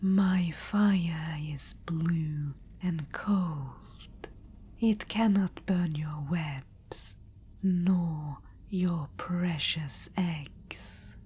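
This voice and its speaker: A woman voicing a regal undead witch-queen in an ASMR roleplay, speaking in a soft whisper and calling the listener darling. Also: fairly low pitch, drawn-out words, a little hiss or hum. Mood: diplomatic. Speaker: ASMR performer.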